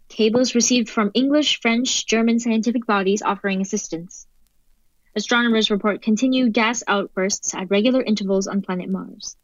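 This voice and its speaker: A woman's voice: medium pitch, dry recording, natural voice. Woman as dry-sounding radio news announcer. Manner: formal, telegraphic delivery. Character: sober radio news announcer reading urgent war bulletins. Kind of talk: scripted radio play newsreading. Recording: dull, dry lo-fi recording.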